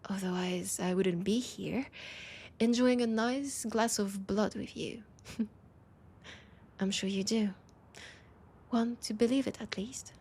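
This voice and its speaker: A woman with a French accent playing a teasing, nostalgic, centuries-old vampire in an intimate audio roleplay, her breathy voice often dropping to a whisper. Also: mid-range voice, fairly even intonation, slow, pauses often, darkish tone. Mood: playful, tender. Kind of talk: intimate audio roleplay, one-sided dialogue.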